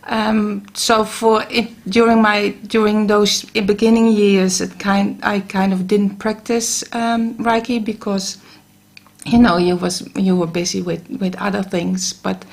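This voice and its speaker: A middle-aged woman from the Netherlands with a Dutch accent, a guest on a radio show, talking about Reiki with many pauses and restarts. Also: medium pitch, level tone, gravelly. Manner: hesitant, many ums and restarts. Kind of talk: casual radio interview. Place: radio studio.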